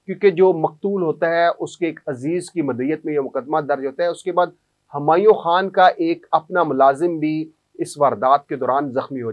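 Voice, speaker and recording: A man's voice: a little high, lo-fi sound. A man in his thirties with a Pakistani Urdu accent, presenting an investigative news report to camera in a studio on a lapel mic, earnest and questioning. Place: news studio in front of a screen. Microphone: clip-on lapel mic.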